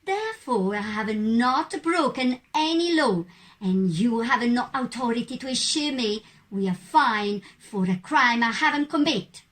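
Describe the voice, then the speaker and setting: A woman acts out a defiant female citizen in a puppet show. She speaks slowly and firmly, with a highish voice and a very lively rise and fall. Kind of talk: scripted puppet show dialogue.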